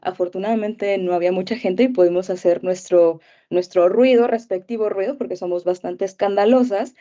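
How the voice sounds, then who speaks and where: A young woman with a Mexican accent answers questions about her life in an easy, rambling way, filling gaps with small hesitations. Her voice sits mellow and dark. The recording sounds dull and muffled, like a video call. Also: medium pitch, fairly even intonation, a little fast. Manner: warm storytelling with many fillers like eh and este. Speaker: teacher. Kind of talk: casual interview answers.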